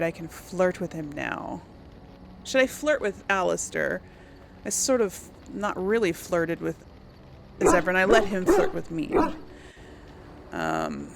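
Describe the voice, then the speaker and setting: A woman's voice: lowish voice, big pitch swings, takes it slowly, creaky, pauses often, noisy background. A woman doing casual let's play commentary, musing aloud and hesitant as she decides things. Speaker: gaming video host.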